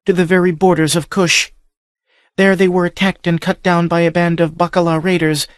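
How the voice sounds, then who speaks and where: A desperate young noblewoman captive, voiced by a male audiobook narrator, her voice lifted high above his own. She pleads in a breathless, urgent rush, and the recording is close and dry with no room sound. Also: no room sound, close to an everyday voice. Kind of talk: audiobook character voice.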